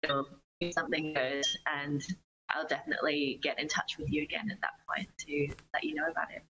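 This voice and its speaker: A woman's voice: deep voice, steady tone, brisk, pushed and bright, slight background noise, poor audio. A young woman, a singer-songwriter, chatting casually as an interview guest.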